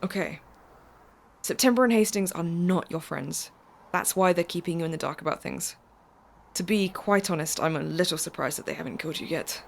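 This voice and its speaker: Calm, knowing woman with a low voice. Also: normal speed, gravelly, slight background noise. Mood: earnest, knowing. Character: mysterious, calm, insistent woman driver, secret protector. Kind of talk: audio drama acting.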